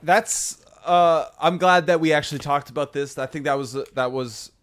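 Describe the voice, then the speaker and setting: A man co-hosting a podcast with his brother, answering in a measured, hedging way and pushing back calmly. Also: on the high side. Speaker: podcast co-host. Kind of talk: casual argument and sports chat with his brother.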